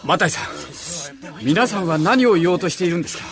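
A man's voice: sits high, lively intonation, fast, over a noisy crowd. A man playing an earnest, devout disciple in a radio drama, speaking emphatically. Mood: excited.

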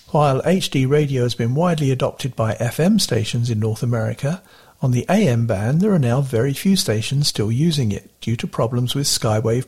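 A man's voice: mid-range voice, darkish tone, slightly rough. A man reading a scripted report as a radio correspondent. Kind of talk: scripted narration.

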